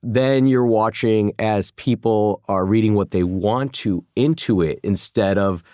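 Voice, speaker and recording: A man in his forties from Chicago, speaking as a guest in a relaxed interview. His voice sits very low, and he works through his thoughts with restarts and little fillers. The recording is close and dry, with no room sound. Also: a little slow, dry recording. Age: 40s. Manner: thoughtful, many restarts and "you know" fillers. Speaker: guest, former journalist turned food entrepreneur. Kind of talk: casual interview conversation.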